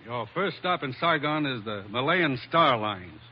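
An American actor playing a stern, brisk commissioner in a 1940s radio drama. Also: male voice, medium pitch, animated, a little hiss or hum, natural voice. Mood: stern, urgent. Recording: muffled old radio recording.

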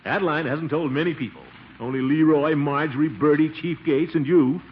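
An actor playing a flustered, pompous middle-aged uncle and water commissioner in a 1940s radio sitcom, blustering and exasperated. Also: male voice, a little high, steady tone, normal speed, natural voice. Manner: pompous, blustering, swooping exclamations. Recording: dull, noisy 1940s radio recording.

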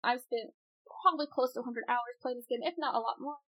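A woman's voice: on the high side, quick pace, muffled recording. A woman co-hosting a casual gaming podcast, chatty and rambling, laughing often as she explains. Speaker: podcast co-host. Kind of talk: casual chat.

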